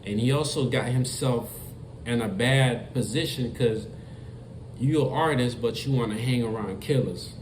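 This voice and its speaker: A man's voice: medium pitch, moderate pace, darkish tone. A man giving a casual commentary monologue, talking straight to his viewers, repeating himself and stressing his points. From Florida. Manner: repetitive, emphatic, talks straight to viewers. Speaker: commentary vlogger. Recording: echoey room with faint noise.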